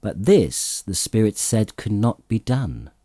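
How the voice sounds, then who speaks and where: A man narrating a classic story as an audiobook, reading in an expressive, theatrical way with dramatic emphasis. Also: fairly low pitch, slow pace, no echo, studio quality.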